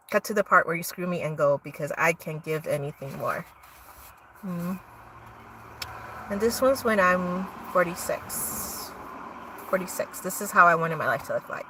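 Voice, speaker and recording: Woman, low voice, reading her own poetry, distant mic, faint background noise. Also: pushed and bright. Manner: reads her poems aloud between loose asides. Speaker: livestream host reading her own poems. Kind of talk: casual livestream poetry reading.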